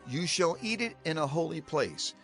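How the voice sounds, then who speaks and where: A man's voice: a little high, lively intonation, normal speed, fairly bright tone, faint music behind. A man playing a stern prophet and leader in a dramatized scripture recording, giving commands in an emphatic, declamatory way. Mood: instructing. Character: Moses, stern, urgent male prophet and leader. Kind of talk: dramatized scripture audio acting.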